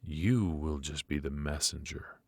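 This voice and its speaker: A man playing a smug, menacing mafia boss in an ASMR roleplay, courteous but ruthless, smooth-talking and teasing, with deliberate drawn-out pauses. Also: rumbling low, a little mellow, dry recording, heavily acted. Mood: coolly threatening.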